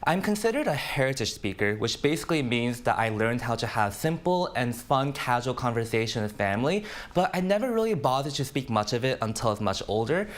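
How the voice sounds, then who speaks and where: A man's voice: medium pitch, big pitch swings, brisk, few pauses. A man, a panelist speaking earnestly and encouragingly in a studio. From United States.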